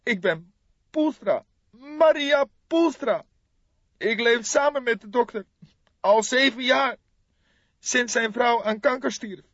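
Man voicing a distressed young woman, pitched high. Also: slow pace, long pauses, a bit bright, dry recording, highs cut off, full character voice. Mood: shaken, grieving. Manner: wailing, anxious, hesitant. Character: distressed young woman, a doctor's live-in assistant.

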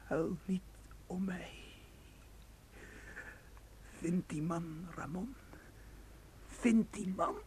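An actor playing a hot-blooded, jealous young man, a protective brother, in a Dutch radio play with intense, halting delivery. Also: high voice, a little mellow, a little hiss or hum. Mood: dying, weak. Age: 20s.

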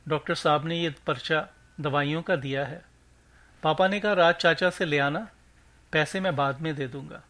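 A man's voice: on the high side, moderate pace, sharp tone. A man voicing an earnest, desperate young son with a pleading, respectful manner in a story reading. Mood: anxious.

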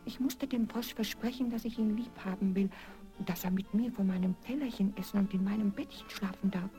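A woman's voice: medium pitch, fairly quick, warm tone, voice pushed up, close to an everyday voice. A woman voicing a plaintive, childlike young princess in a 1970s children's audio play, easily upset. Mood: anxious pleading. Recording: vinyl record transfer with music.

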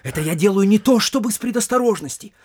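A man's voice: high voice, lively intonation, fast, darkish tone. A man playing a nosy, naive, self-satisfied provincial postmaster in a theatrical comedy audio play, savoring his words in a gushing singsong. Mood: delighted, gushing.

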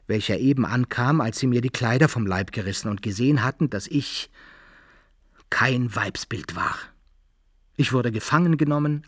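An actor playing an adventurer who narrates his own wartime misfortunes in a literary radio play, with a husky voice. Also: mid-range voice, fairly bright tone, no room sound, studio quality. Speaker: first-person narrator.

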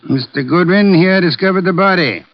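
An actor playing a pompous, shrewd, unflappable private detective in a 1940s radio drama, speaking formal, precise English. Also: male voice, high voice, moderate pace, lightly acted. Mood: probing, formal. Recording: muffled old 1940s radio recording.

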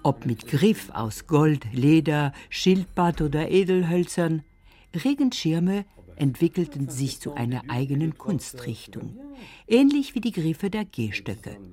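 A woman's voice: low voice, sing-song, measured pace, mellow. A woman reading scripted narration for a radio feature. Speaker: documentary narrator.

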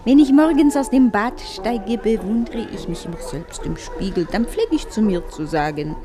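Actress as a lively, haughty flirt over music. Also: female voice, a little high, normal speed, close to an everyday voice. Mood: haughty, bored. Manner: teasing, self-admiring, provocative. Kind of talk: German radio play acting.

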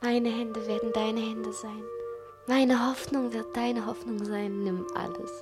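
A woman's voice: fairly high pitch, little pitch movement, a little slow, over music, close to an everyday voice. An actress playing a passionate young woman of twenty in love in a German radio play, intense and tender, often near a whisper.

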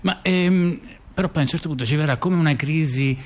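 A man playing a rambling intellectual in a conversational radio theatre piece, explaining things haltingly with repeated words and digressions. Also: a little high, darkish tone, natural voice. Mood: hesitant, explanatory.

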